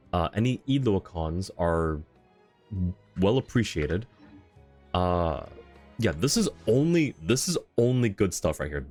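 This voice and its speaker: A man's voice: on the low side, darkish tone. A man chatting casually on a game livestream, thinking aloud and rambling as he reads chat, with music behind him. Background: video game music.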